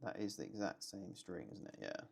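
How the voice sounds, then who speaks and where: Male, low creaky voice, musing under his breath as he works through code. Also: big pitch swings, fairly quick. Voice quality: low, gravelly voice. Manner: muttering, half-finished remarks to himself. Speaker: solo coding streamer. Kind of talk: thinking aloud while coding.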